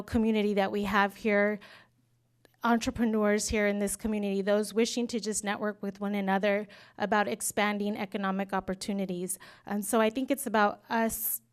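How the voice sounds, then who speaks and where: A young woman, a city council member training as a researcher, speaking in a measured, academic way at a public meeting. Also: mid-range voice, smooth. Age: young adult. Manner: measured, academic, frequent "sort of" hedges.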